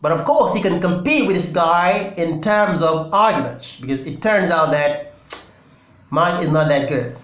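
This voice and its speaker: Earnest Senegalese man, slightly high voice. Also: lively intonation, fairly bright tone. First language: Wolof. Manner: earnest, deliberate, with pauses and restarts. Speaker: political commentary channel host. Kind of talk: solo political commentary. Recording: echoey room.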